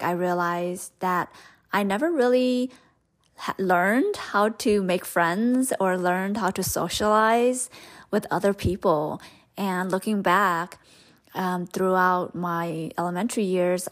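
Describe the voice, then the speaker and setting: A young woman, Hmong her first language, hosting a podcast and telling her own story in a candid, rambling way with many fillers. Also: lowish voice. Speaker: podcast host. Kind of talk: personal storytelling monologue.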